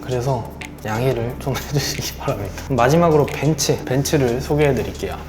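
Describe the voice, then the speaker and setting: A man who sells cars delivers a courteous, persuasive pitch, keeping his voice low because his throat is sore. His speech bounces around a roomy space. A music bed runs clearly underneath. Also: a little fast. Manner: polite, persuasive salesman's patter. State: sore throat, speaking lower than usual. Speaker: car dealer. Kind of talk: sales pitch explaining monthly car promotions. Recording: roomy echo with background music.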